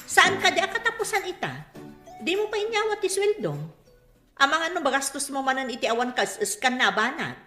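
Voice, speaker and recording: A woman's voice: sits high, very animated, fairly quick, sharp tone, roomy sound, faint music behind, full character voice. A woman with a Filipino accent playing a defensive, spendthrift mother who argues dramatically in a comedy radio drama. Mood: nagging. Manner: argumentative, dramatic.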